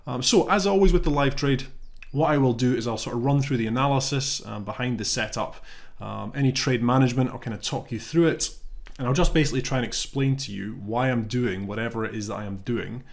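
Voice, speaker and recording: A man in his thirties with a slight cold, explaining a trade in a relaxed, thinking-aloud way from his home office webcam. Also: mid-range voice, brisk, creaky. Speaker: trading educator. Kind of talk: casual explanatory live-trade walkthrough.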